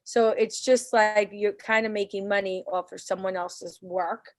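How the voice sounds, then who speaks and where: An earnest woman speaks casually over a video call, leading a parents' meeting. Her pitch sits mid-range, and the sound is close and dry, with no room echo. She often restarts her sentences as she talks. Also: steady tone, unhurried. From New York City. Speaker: parent council leader. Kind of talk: virtual community meeting talk.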